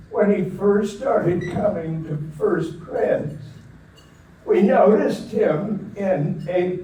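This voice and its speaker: Brief: a man hosting a church talk, very slow delivery, roomy distant recording with faint background noise. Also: high voice, dark tone. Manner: slow, deliberate speaker addressing a room. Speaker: interviewer at a church men's gathering. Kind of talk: live introduction to an audience. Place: large echoey meeting room. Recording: echoey room from far off, faint noise.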